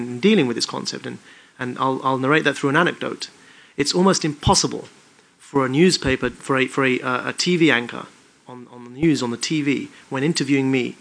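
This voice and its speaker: A British-born man giving an articulate, emphatic public talk in measured phrases. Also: mid-range voice, fairly bright tone. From United Kingdom. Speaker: public speaker.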